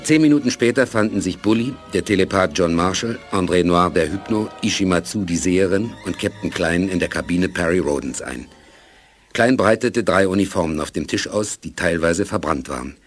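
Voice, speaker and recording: A low-pitched narrator of a science fiction radio drama, played by a man. He tells the story in scripted prose, with music running underneath. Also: moderate pace, natural voice. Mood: measured.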